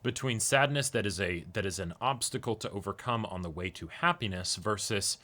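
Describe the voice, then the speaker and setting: A man with a lowish voice and a bright, forceful tone. He chats casually as a co-host, leaning on words and repeating them for emphasis. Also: a little fast. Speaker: co-host of an anime reaction show. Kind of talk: casual discussion of an anime episode.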